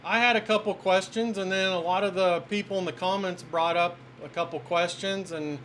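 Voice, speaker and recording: A man's voice: high-pitched, steady tone, moderate pace, fairly bright tone, slight background noise. A man in his fifties talking casually and unscripted as a YouTube host, hesitant and self-effacing. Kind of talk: casual unscripted talk, intro and phone interview.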